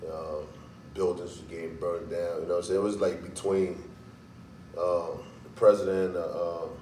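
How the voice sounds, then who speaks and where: A man's voice: low voice, little pitch movement, moderate pace, warm tone. A man in his thirties from Liberia, a guest telling his life story casually and laughing often. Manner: laughs often, lots of "you know what I'm saying". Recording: roomy, distant mic, faint noise.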